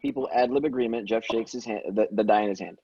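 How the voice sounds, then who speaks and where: A man's voice: on the low side, quick pace, mellow, husky, no room sound, highs cut off. A man reading script stage directions aloud in a plain, matter-of-fact way, with occasional stumbles. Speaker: stage directions reader at a table read.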